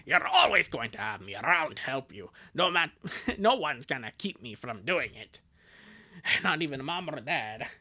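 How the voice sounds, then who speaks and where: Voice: mid-range voice, big pitch swings, normal speed, bright, forceful tone, slightly rough, full character voice. A performer voicing a caring, protective big-sister girl in an earnest cartoon fan dub. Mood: reassuring.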